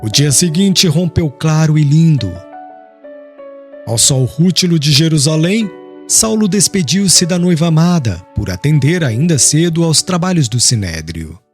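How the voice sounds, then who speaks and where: A man narrates an audiobook with solemn formality. His voice is a little high and very expressive in pitch, with music clearly playing behind him. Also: measured pace. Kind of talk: scripted literary narration.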